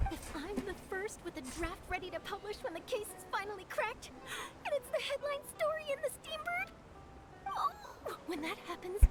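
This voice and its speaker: A woman's voice: extremely high pitch, low-quality recording. A woman playing an eager, ambitious young female reporter in an anime video game, with music behind. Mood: excited, dreamy. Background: loud music from a video game.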